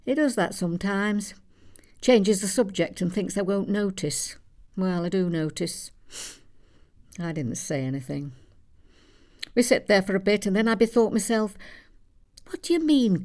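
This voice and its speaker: A woman's voice: medium pitch, warm tone. An actress playing an elderly, plain-spoken Yorkshire mother, telling a dry, gossipy monologue in a conversational way. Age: older. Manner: dry, matter-of-fact, gossipy. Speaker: actor in a theatre monologue. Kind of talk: conversational storytelling stage monologue.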